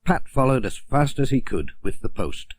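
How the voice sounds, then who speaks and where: A man narrating a children's story as an audiobook reader, in a gentle, expressive storytelling manner. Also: mid-range voice, moderate pace, breathy edge, no echo.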